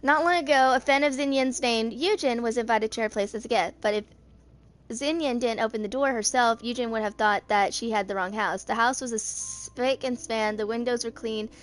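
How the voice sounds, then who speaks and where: Higher-voiced young woman reading aloud haltingly. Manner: halting, stumbles over words and rereads them. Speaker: video creator reading game character lore. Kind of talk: reading written lore aloud, amateur narration.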